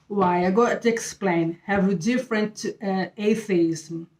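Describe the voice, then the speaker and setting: A middle-aged woman from Brazil with a Brazilian Portuguese accent, hosting her channel and sharing a faith talk in halting English, often pausing with fillers as she searches for words. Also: medium pitch, a little mellow. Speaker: channel host. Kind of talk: casual faith talk to camera. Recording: echoey room.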